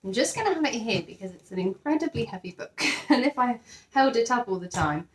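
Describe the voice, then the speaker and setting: A woman teacher sharing a children's picture book, expressive and enthusiastic, with chatty asides. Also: fairly high pitch, fairly quick, slight background noise. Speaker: teacher reading to pupils. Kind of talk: children's storybook read-aloud with chatty asides.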